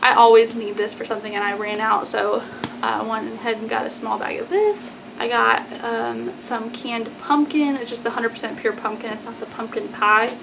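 Chatty high-voiced young American woman vlogger. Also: normal speed. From Texas, United States. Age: 20s. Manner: chatty, informal, says "like" and "y'all" often. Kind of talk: casual grocery haul chat to camera. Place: small plain indoor room. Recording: clearly noisy room background.

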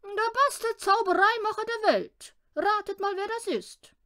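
A woman voicing a boastful, bossy, excitable little man in a children's storybook, loud and pompous, given to pouting. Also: extremely high pitch, a little slow, voice pushed up. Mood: bossy, grand.

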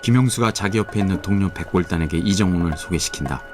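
A man narrating a radio drama in a steady, descriptive way. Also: deep voice, fast talker. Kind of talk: scripted narration. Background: background music.

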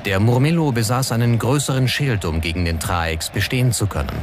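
A man's voice: lowish voice, brisk, background noise. A man with a standard German accent reading scripted documentary narration. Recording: music under the voice. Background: background music.

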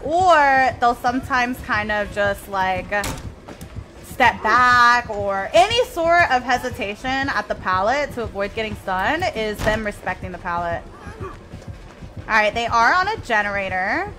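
A bright-toned woman streamer with a fairly high voice, chatting away as she plays live. Spanish is her first language, and she answers her viewers between moves, with faint game music underneath. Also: expressive, unhurried. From Mexico. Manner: chatty, reads and answers chat while playing. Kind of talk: live gameplay commentary.

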